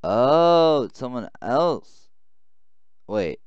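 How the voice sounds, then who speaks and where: A man doing a gaming let's play, reacting to the story out loud with exclamations and stumbling over words. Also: mid-range voice, sing-song, drawn-out words, clear, resonant tone, no room sound, dull audio. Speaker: gaming YouTuber. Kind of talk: let's play, reading dialogue aloud and reacting.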